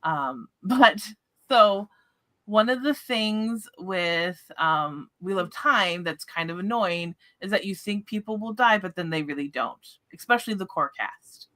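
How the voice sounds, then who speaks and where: A woman hosting a casual book discussion livestream, chatting in an animated way. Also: mid-range voice, measured pace.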